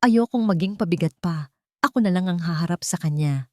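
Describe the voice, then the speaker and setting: A woman narrator voicing a meek, guilt-ridden younger sister with a broken, hushed delivery in an audio drama. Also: lowish voice, a little fast, no room sound, clean studio sound, natural voice. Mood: guilty, tearful.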